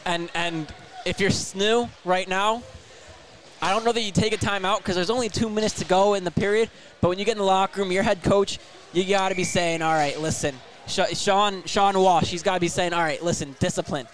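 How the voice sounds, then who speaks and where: A man's voice: high-pitched, fairly even intonation, a little fast, fairly bright tone, a little hiss or hum. A man working as a hockey color commentator, talking conversationally and emphatically, often repeating and stumbling over words. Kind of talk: live hockey analysis.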